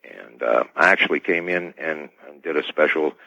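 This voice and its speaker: Man, extremely low pitch, formal technical guest, halting delivery, muffled lo-fi radio audio. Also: gravelly, sharp tone, husky, no room sound. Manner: hesitant, formal, technical wording. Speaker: expert guest, scientist and inventor. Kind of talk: technical explanation in a radio interview. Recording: dull band-limited call-in radio sound.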